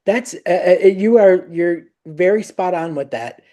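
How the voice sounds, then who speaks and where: A man's voice: high-pitched, a little slow, dark tone. A man co-hosting a podcast, chatting casually and agreeably with his guest. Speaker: podcast co-host. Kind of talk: casual interview chat.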